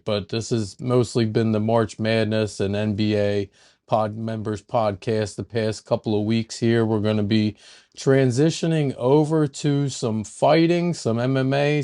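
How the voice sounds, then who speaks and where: A man's voice: mid-range voice, darkish tone. A man hosting a casual sports podcast, playful and self-mocking as he banters with his co-hosts. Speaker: podcast host.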